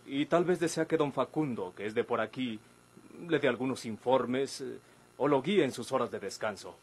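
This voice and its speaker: A man's voice: medium pitch, normal speed, husky. An actor playing an earnest, wary young Mexican man, formal and stern, in an old radio drama. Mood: polite, guarded. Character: earnest, wary younger man, respectfully scolding his outlaw elder. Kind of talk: golden-age Mexican radio drama acting. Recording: noisy vintage radio recording.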